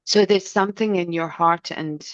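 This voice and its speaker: A middle-aged voice coach from Ireland with a mixed Irish and South African accent, talking in a gentle, chatty, expressive way. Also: female voice, lowish voice, normal speed, no room sound, muffled recording. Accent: Irish and South African English mix. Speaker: voice and speech coach. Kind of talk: podcast interview answer.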